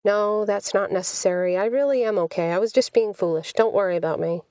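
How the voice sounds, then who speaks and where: A woman's voice: medium pitch, fairly quick, darkish tone, no room sound, clean studio sound, natural voice. Woman reading as anxious, protective young boyfriend. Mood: reassuring. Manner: earnest, pleading.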